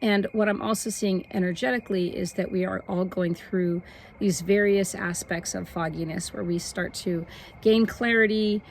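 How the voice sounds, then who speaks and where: Slightly hoarse woman, soft and unhurried. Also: mid-range voice, steady tone, a little hiss or hum. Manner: soft, meandering, slows down on words for emphasis. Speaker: spiritual forecaster hosting a weekly video. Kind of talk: casual spiritual talk to camera.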